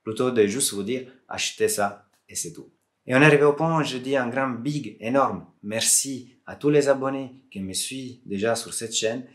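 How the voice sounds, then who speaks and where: A man's voice: mid-range voice, normal speed. A man from Italy speaking French with an Italian accent, not his native language, chatting casually to camera as a tech YouTuber, friendly and joking with hesitations.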